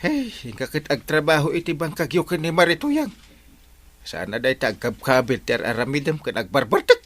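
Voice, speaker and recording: A man's voice: a little high, big pitch swings, normal speed, a bit bright. A man with an Ilocano accent acting as a stern but forgiving village captain in a radio comedy drama. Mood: admonishing.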